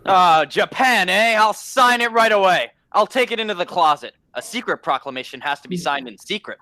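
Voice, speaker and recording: A man's voice: high voice, lively intonation, a little fast, sharp tone, no room sound. A young man playing a pompous, deluded fellow who believes he is President, in a radio play. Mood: grand, excited.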